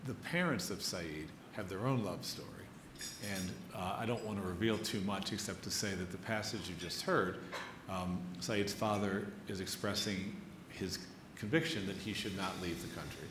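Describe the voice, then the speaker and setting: A man moderating a literary conversation on stage, deliberately building up his questions in short phrases. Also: low-pitched. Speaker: interviewer, moderator. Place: live stage event in an echoey venue. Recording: echoey room, clearly noisy.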